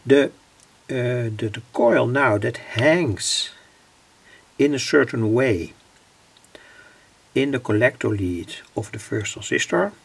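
Male hobbyist vlogger, Dutch accent, extremely gravelly and rough-edged voice, halting informal technical explanation. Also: medium pitch, expressive. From Netherlands. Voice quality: gravelly, husky. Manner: halting, deliberate phrasing with pauses. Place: indoors at a home electronics workbench.

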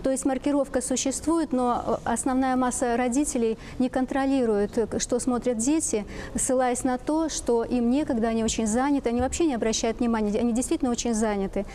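Middle-aged woman, fairly high mellow voice, expert explanation, halting with drawn-out fillers, slightly noisy studio recording. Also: fairly even intonation. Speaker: psychologist, expert guest. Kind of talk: explaining on a talk show. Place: TV talk show studio, seated.

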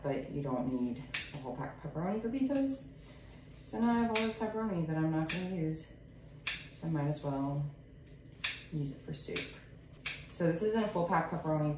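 A young woman vlogger chatting casually as she cooks in her home kitchen, thinking out loud about each step. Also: low-pitched, background noise. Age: young adult. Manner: chatty, thinks aloud while cooking. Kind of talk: casual cooking vlog talk. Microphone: camera on the counter, a few steps away. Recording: echoey kitchen, mic at a distance.

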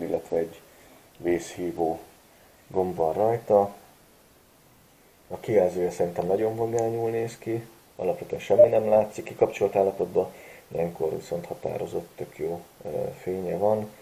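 Low-voiced man reviewing a gadget casually. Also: gravelly, low-quality recording. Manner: relaxed, chatty, frequent hesitation fillers. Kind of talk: casual product review vlog.

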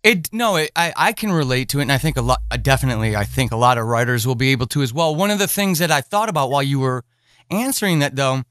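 A man's voice: mid-range voice, lively intonation, quick pace, a bit bright, dry recording, studio quality. A middle-aged man hosting a conversational podcast interview, circling his way through wordy, hesitant phrasing.

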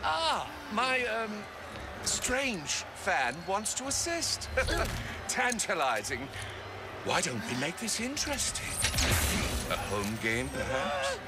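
A man playing a gloating, theatrical robot emperor in a video game, with a pompous, drawn-out delivery. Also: high voice, wildly swooping pitch, noisy background, heavily acted. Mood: smug, theatrical. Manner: pompous, grandiose, drawn-out showmanship. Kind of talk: animated video game acting.

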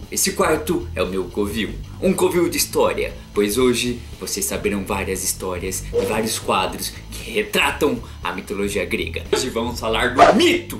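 A man's voice: lowish voice, a bit bright, reverberant. A young Brazilian man, an art teacher and cartoonist, talks playfully and self-mockingly to his webcam about art, swinging his voice up and down for drama. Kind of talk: theatrical educational vlog. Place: small bedroom with bookshelf. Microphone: webcam framing, seated facing camera.

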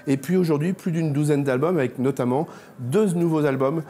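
Mellow, higher-voiced middle-aged Frenchman presenting. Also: fairly quick. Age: 50s. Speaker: exhibition curator and presenter. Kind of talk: informal presentation to camera. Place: indoor exhibition, reconstructed bedroom.

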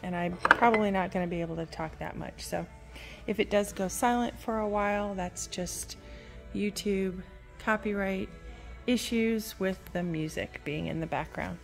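A woman vlogging a casual walk-through of a large indoor antique mall, talking in a meandering, unhurried way with lots of fillers. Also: medium pitch, creaky. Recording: phone audio with faint store music. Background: store music playing faintly.

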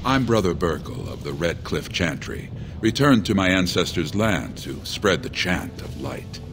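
A devout dwarf preacher from a fantasy game, earnest and gently persuasive. His voice is fairly low and moves lively. Game music hums beneath him. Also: unhurried. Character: earnest dwarf missionary brother. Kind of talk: video game voice acting. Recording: game audio with music underneath.

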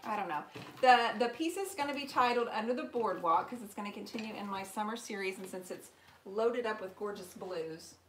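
A woman's voice: mid-range voice, brisk, slight background noise, low-quality recording. A woman in her forties hosting a casual live painting stream from her home workshop, chatting and answering viewer comments as she works. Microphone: camera mic, moves toward and away from it.

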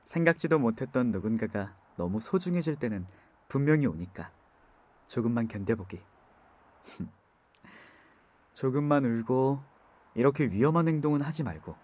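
A man playing a gentle young driver. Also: medium pitch, lively intonation, normal speed, pauses often, close to an everyday voice. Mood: gentle, comforting. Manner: soft, gentle, comforting. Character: young man driving, kind and soft-spoken. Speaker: voice actor in an audio drama. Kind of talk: ASMR audio drama acting.

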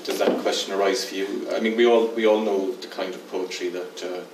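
A deep-voiced older man moderates a panel on stage, restarting his questions as he goes. The sound comes from a distant mic in a reverberant hall with a steady noise floor. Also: fairly quick. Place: stage in a dim theatre hall. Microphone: far camera. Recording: echoey hall from far off.

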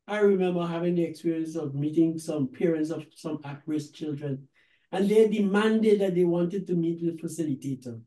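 A middle-aged man with a Guyanese accent hosting a talk show in deliberate, halting phrases from an office. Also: a little high, mellow. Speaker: host, reverend. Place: book-lined office. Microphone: video call. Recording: roomy, echoey sound.

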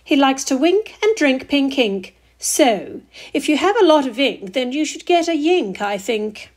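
Female storybook reader: high-pitched, lively swooping intonation, deliberate sing-song rhythm. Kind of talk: expressive children's picture book read-aloud.

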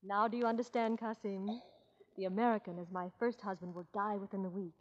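An actress playing an earnest, imperious young princess in old radio comedy, speaking formally and dramatically. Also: female voice, medium pitch, steady tone, a little fast, lightly acted. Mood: urgent. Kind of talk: 1940s radio comedy acting. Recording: muffled 1940s radio recording.

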